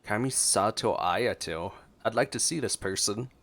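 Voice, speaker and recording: A man's voice: on the low side, normal speed, gravelly, a bit bright. A man doing casual reaction commentary as a gaming streamer.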